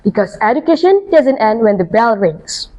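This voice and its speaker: A woman's voice: on the high side, very animated, moderate pace, dark tone, poor audio. A woman co-hosting an education podcast, with a friendly, encouraging way of asking questions. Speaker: podcast co-host.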